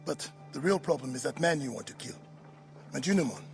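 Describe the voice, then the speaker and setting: An actor playing a jovial, hearty friend in a video game cutscene, chuckling and expressive. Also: male voice, a little high, brisk, soft background music. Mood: worried. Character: warm jovial male friend and local helper. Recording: game cutscene with clear background noise.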